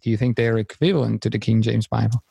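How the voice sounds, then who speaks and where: A man from Switzerland with a Swiss German accent, a non-native English speaker hosting a missionary podcast in a halting, hesitant way. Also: lowish voice, fairly even intonation, creaky, dark tone, slightly rough, no room sound. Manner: hesitant, halting phrasing with fillers. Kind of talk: casual podcast conversation.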